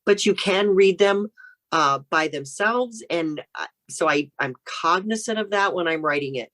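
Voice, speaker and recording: A woman author speaks as a podcast guest, her voice sitting very low for a woman. She explains her work in a steady, orderly way. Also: unhurried. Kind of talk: casual interview chat.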